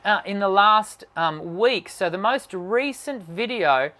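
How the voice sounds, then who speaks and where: A man's voice: lively intonation, slow pace, pushed and bright. A man, a singing teacher hosting a casual live stream, musing aloud and reading out viewer questions. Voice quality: naturally high voice. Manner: musing, reads viewer comments aloud, many fillers and restarts.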